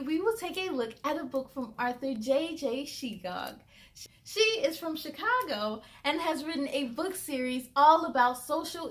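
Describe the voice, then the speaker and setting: A woman hosting a children's story time, reading a picture book expressively and adding chatty asides and questions for young listeners. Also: high-pitched. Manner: animated, drawn-out delivery with interjections and questions to listeners. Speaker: children's read-aloud host. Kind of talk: expressive storybook read-aloud with friendly asides to young viewers.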